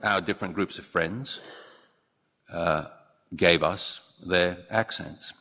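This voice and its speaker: A man gives an earnest, measured ceremonial speech. His voice sits very low. It rings with the long reverb of a large courtroom, caught on a podium microphone. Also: pushed and bright. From Hong Kong. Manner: measured and earnest, with occasional slips and restarts. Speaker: newly appointed judge giving a speech.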